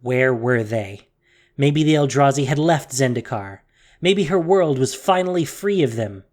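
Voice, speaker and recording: A narrator reading a dramatic audio story theatrically, with deliberate pauses and hushed emphasis, in a scripted style. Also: mid-range voice, lively intonation. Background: music.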